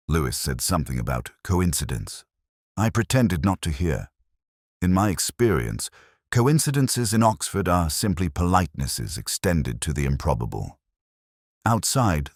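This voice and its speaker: Very deep-voiced man narrating a detective story. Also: no echo, studio quality. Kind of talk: scripted first-person literary detective narration.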